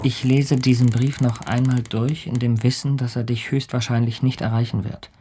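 An actor playing an earnest, troubled polar ship captain in a German radio drama, speaking formally and gravely. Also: male voice, medium pitch, level tone, moderate pace, warm tone, natural voice. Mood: sombre. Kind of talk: German radio drama acting, letter narration.

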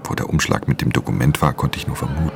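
A man playing a world-weary, guarded pickpocket who narrates a radio crime drama in an intimate, gravelly voice. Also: very deep voice, fast, noisy background. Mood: brooding, tense.

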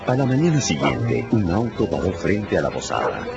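A man with a very deep, gravelly voice narrates a radio novel. His scripted delivery is dramatic. The sound is a little muffled, with music playing behind him. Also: very animated, normal speed.

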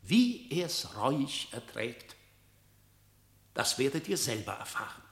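An actor playing a loyal, glib badger in a classic radio drama, pleading his case in smooth rhetorical verse. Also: mid-range voice, big pitch swings, takes it slowly. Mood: earnest pleading. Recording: roomy, echoing radio studio recording.

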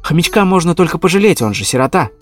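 A man voicing a meek, polite dog who speaks mildly and softly. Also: fairly high pitch, sing-song, fast talker, soft background music, voice pushed up. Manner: mild, polite, soft.

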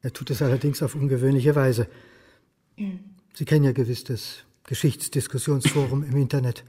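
A man playing a beleaguered, stubborn university historian in a radio crime drama, earnest and halting. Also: medium pitch, steady tone, dark tone, husky, natural voice. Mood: tense, earnest. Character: beleaguered male historian and university lecturer, indignant, doubting, stubborn. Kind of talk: German radio crime drama acting, dialogue and inner monologue.

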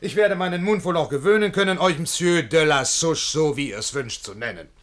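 An actor playing a courteous, gently mocking friend speaking rhymed verse politely. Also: male voice, a little high, animated, fairly quick. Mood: wry. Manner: polite, wry. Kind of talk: classical verse comedy acting.

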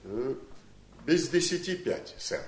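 An actor in a radio drama playing a simple, stubborn servant, deferential but puzzled and literal-minded. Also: male voice, mid-range voice, big pitch swings, slow, reverberant. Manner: deferential yet puzzled and argumentative. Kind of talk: Soviet radio drama acting.

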